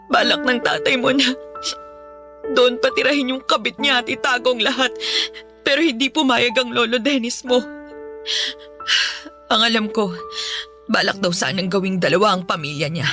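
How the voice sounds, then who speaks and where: A woman's voice: fairly high pitch, lightly acted. An actress playing a distressed, loving mother abandoned by her husband in an emotional Tagalog radio drama. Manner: tearful, emotional, sighing. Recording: music playing behind.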